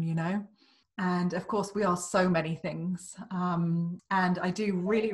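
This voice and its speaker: A British woman co-hosting a conversational podcast as a life coach, effusive and quick to laugh. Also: fairly low pitch. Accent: British English. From Britain. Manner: warm, effusive, laughs often. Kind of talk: casual conversation.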